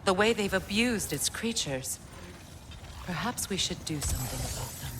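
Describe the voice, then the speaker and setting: Game role, female actor: mature sombre goddess, deep for a woman, solemn and compassionate, clear background noise. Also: lively intonation, lightly acted. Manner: measured, guarded, solemn. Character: proud, wounded middle-aged goddess, sombre and compassionate. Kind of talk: video game dramatic acting.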